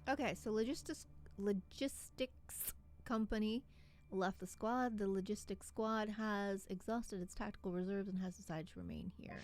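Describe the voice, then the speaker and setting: A woman's voice: mid-range voice, fairly even intonation, moderate pace, a little mellow. A woman streaming a video game, chatting casually and musing aloud as she plays. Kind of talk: casual gameplay commentary. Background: game music.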